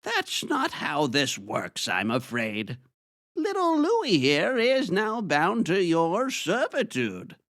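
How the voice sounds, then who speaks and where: A woman voicing a fussy, formal lab keeper, measured and testy, in an audio fantasy drama. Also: fairly low pitch, huge pitch swings, a bit bright, no room sound, clean studio sound, exaggerated voice. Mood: dry, formal.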